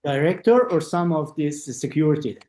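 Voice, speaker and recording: A middle-aged man, an architect being interviewed, speaking halting non-native English with many restarts and acting out the voices in his stories. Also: on the high side, expressive, a little mellow, reverberant. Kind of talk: conversational interview. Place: lives in Austria.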